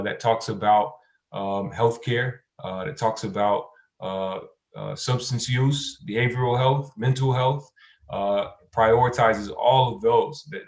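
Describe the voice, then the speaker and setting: A man giving unscripted panel remarks as a community organizer, halting and repeating phrases as he searches for words. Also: on the low side. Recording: roomy, echoing sound.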